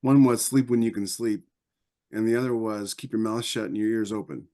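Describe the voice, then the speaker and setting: A man's voice: on the low side, steady tone, fairly quick, mellow. An American man co-hosting a podcast, sharing personal anecdotes in a conversational, wry manner. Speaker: podcast co-host. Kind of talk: casual chat, personal anecdotes.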